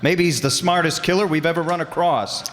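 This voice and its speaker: A man's voice: fairly high pitch, a little fast, a bit bright, a little hiss or hum, close to an everyday voice. A man playing a bold, wisecracking amateur sleuth in an old-time radio mystery, with a breezy, cocky delivery. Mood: wry, confident. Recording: reverberant hall stage recording.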